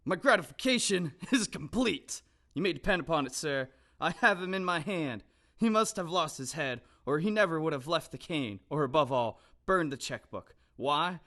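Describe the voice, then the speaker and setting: A man's voice: on the high side, expressive, moderate pace, bright, forceful tone. A man acting a confident, self-satisfied police inspector in an audio drama, formal and declarative. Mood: gleeful, confident. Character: smug, confident police inspector, eager on the hunt.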